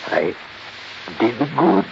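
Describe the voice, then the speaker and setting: An actor playing an excitable, loyal Italian immigrant guard who speaks broken English in a 1950s radio drama. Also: male voice, mid-range voice, very slow, gravelly, full character voice. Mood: weak, proud. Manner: broken English, indignant and earnest. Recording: muffled, noisy 1950s radio recording.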